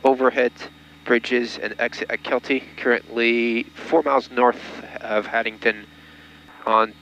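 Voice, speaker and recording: A man's voice: mid-range voice, fairly even intonation, unhurried, fairly bright tone. A man speaking as a private pilot, making clipped procedural radio calls with small hesitations. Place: light aircraft cockpit. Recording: dull audio with faint aircraft hum. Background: engine hum.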